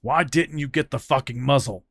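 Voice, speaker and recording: A man playing a furious, shaken partner, speaking loud and a little higher than plain talk. His voice is forceful and anxious, recorded close and dry. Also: lively intonation, unhurried, husky, dry recording, heavily acted. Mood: angry anxious. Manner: angry, raised and forceful.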